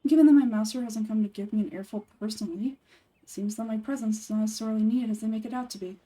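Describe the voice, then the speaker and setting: A woman voicing a composed, sardonic young male forest ranger and scholar in a video game, speaking in a measured, matter-of-fact way. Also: on the high side, dark tone, distant mic, close to an everyday voice, poor audio. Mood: dry, measured.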